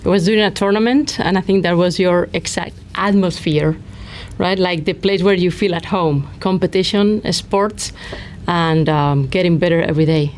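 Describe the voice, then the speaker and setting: A woman's voice: lowish voice, moderate pace, darkish tone, slight background noise. A woman hosting an interview, friendly and hesitant, with many fillers. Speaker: podcast host.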